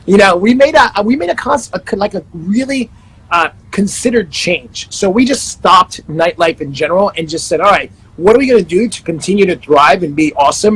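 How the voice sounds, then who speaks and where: A man's voice: high voice, fairly bright tone, husky, rough recording. A man in his thirties, a life coach, chatting upbeat in an interview over a video call. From Panama and the Netherlands. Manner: upbeat, self-promoting, many restarts. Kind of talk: casual interview chat.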